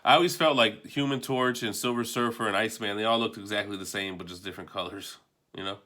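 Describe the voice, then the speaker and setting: Bright-voiced man, offhand and casual. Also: lowish voice, fast. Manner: offhand, rambling, mildly profane. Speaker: comic book collector reviewing a book. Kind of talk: casual unscripted video review.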